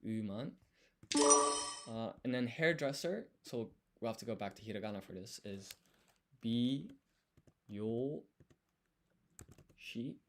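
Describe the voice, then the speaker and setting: Casting: male study streamer, mid-pitched voice, slow and thoughtful, casual chat, sounds words out piece by piece. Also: slight background noise. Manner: thinking aloud, sounding out words syllable by syllable. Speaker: live streamer studying Japanese.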